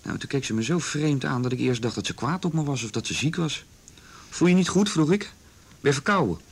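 An actor playing an earnest young son in a radio drama, telling what he witnessed in a halting, sincere, plain-spoken way. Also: mid-range voice, lively intonation, slightly rough. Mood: uneasy, earnest. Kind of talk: naturalistic radio drama dialogue.

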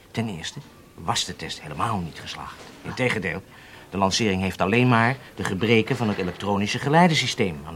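A man's voice: lowish voice, noisy background. An actor in a Dutch radio drama playing a self-assured, impatient undercover spy, speaking emphatically as if lecturing his handlers. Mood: irritated, dismissive.

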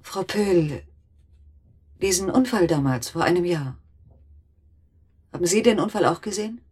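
A shaken wife in a radio drama, searching insistently for answers. Her voice is lowish and very husky. She speaks haltingly and repeats her words. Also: lively intonation, a little mellow, exaggerated voice. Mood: tense, controlled. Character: shaken married woman searching urgently for a witness.

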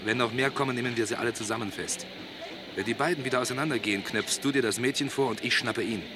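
An actor playing a confident police inspector in a German crime radio drama, clipped and matter-of-fact. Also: male voice, medium pitch, quick pace, noisy background, close to an everyday voice. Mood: watchful, focused. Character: brisk, confident police inspector running a stakeout.

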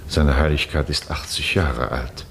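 A dignified, stern Vatican monsignor in a radio drama. His voice is very deep, his delivery measured and authoritative. Faint noise lies behind the recording. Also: fairly even intonation. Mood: grave, formal. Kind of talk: radio play acting.

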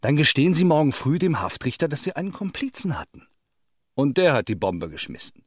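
A man's voice: a little high, sing-song, fairly quick, no room sound, studio quality. An actor playing a scheming, impatient man in a German radio drama, insistent, cajoling and commanding. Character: scheming, impatient man pushing an accomplice into a false confession.

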